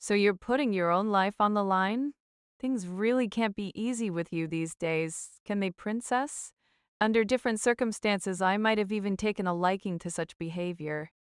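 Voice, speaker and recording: A woman's voice: mid-range voice, moderate pace, clear, resonant tone, no room sound, studio quality, lightly acted. A woman voicing a shrewd old noblewoman mage in an audiobook, with a breezy, teasing and nonchalantly menacing manner. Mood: teasing nonchalance. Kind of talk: audiobook character acting.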